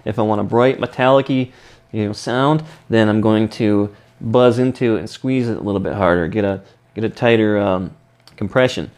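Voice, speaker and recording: A man demonstrating and explaining a vocal warm-up, his voice a little rough from a recent sinus infection. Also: lowish voice, moderate pace. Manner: explains while demonstrating sung vowels. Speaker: singing teacher.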